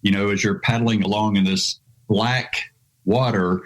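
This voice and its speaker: A man's voice: fairly low pitch, steady tone, measured pace, rough recording. An American man, an adventure travel writer, being interviewed on a podcast, rambling with lots of "you know" fillers. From United States.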